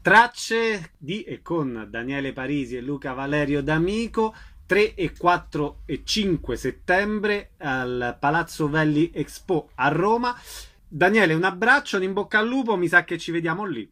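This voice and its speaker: A man's voice: fairly high pitch, lively intonation, unhurried, pushed and bright. A man hosting a radio arts interview, giving short prompts and tidy announcements. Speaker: radio host.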